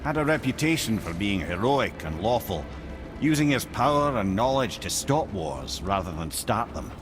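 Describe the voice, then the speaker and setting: An actor with a Scottish accent playing a wry, learned old advisor, telling tales theatrically in a video game. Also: male voice, fairly low pitch, big pitch swings, moderate pace, music in the background. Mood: sage, gentle. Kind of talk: theatrical storytelling in game dialogue.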